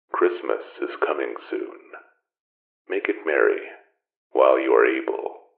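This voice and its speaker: An actor playing an ancient, solemn, secretive magic-wielder and mentor in an audio drama, speaking in formal, archaic, measured phrases. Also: male voice, very deep voice, gravelly, heavily acted. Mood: solemn, urging. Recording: muffled narrow band, echoey, distant.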